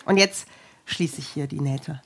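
A woman in her late thirties, a guest who sews while chatting and calmly explains her sewing steps. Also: low-pitched, unhurried. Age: 30s. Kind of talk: casual chat while sewing.

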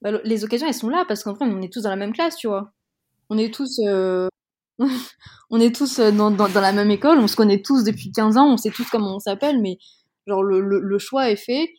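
A young woman from Madagascar speaks in a mellow, warm tone. She talks frankly and with some heat, stopping and restarting often, full of fillers as she searches for words. Her pitch sits in a middle range. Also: fairly quick. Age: young adult. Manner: hesitant, many restarts and fillers; heated, frank. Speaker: interviewee. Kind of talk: casual conversation.

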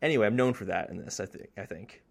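A young man co-hosting a casual video game podcast, rambling and halting as he searches for words. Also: lowish voice, lively intonation, gravelly. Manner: rambling, halting, self-deprecating. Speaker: podcast co-host. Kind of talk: casual chat about video games.

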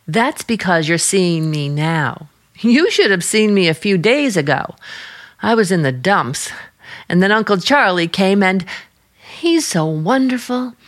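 A woman's voice: mid-range voice, very animated, unhurried. An American actress playing an earnest, restless young small-town woman in a radio drama. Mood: wistful, admiring. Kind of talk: old-time radio drama acting.

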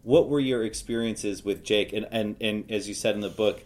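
A man's voice: lowish voice, fairly even intonation, moderate pace, darkish tone. A man hosting a podcast, chatting casually as he interviews a guest.

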